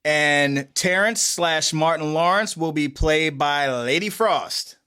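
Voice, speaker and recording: A male show host with a somewhat high voice for a man. He talks in a casual, conversational podcast style. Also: takes it slowly, sharp tone, clean tone.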